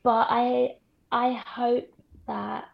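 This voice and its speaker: A woman with a croaky voice, a guest in a candid chat, effusive and swearing casually. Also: a little high, flat delivery, extremely slow, lo-fi sound. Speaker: podcast guest.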